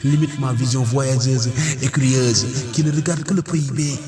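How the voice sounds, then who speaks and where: A man reciting devotional poetry as a solemn, pleading prayer, declaiming it in measured short phrases. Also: mid-range voice, fairly even intonation, music in the background. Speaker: poet reciting prayer poems.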